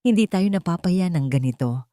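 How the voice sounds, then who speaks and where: A woman's voice: fairly low pitch, animated, a little fast, dark tone, no room sound, lightly acted. A woman narrator plays a distraught young wife in an audio love story. She is tearful and ashamed, taking all the blame on herself. The sound is close and dry. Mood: tearful, guilty. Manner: tearful, self-reproaching.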